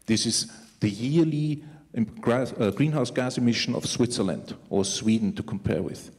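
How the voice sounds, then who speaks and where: A man from Austria presenting at a press conference as a climate initiative spokesman, explaining in a halting way. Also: medium pitch, darkish tone. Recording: echoey hall with long reverb.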